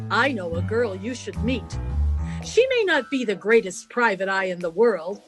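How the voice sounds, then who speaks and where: A woman narrating as a theatrical announcer in a 1950s-style radio drama. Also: a little high.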